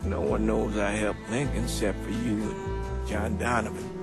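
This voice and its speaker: Proud old American war veteran, music underneath. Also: male voice, lowish voice, little pitch movement, moderate pace, creaky. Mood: reluctant, humble.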